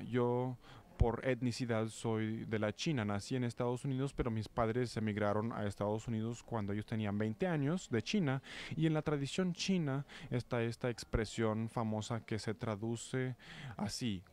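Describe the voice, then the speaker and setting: A man working as a live interpreter of a talk, phrasing haltingly and correcting himself. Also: medium pitch, a little mellow. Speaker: simultaneous interpreter.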